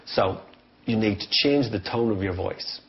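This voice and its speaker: Male sales trainer, lowish voice, emphatic explanatory delivery, informal advice-giving, slightly muffled lo-fi sound. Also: a little slow. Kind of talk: informal business coaching talk.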